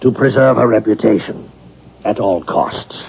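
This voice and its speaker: An actor playing a pragmatic, blustering university president, halting and exasperated, in a radio drama. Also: male voice, on the low side, gravelly. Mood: wheedling pressure. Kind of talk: vintage-style radio drama acting. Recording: dull, noisy old radio broadcast recording.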